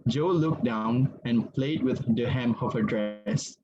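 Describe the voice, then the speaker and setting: A man's voice: medium pitch, slow pace. A man narrating a scripted dramatic reading as its storyteller between the characters' lines. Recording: muffled audio with faint noise.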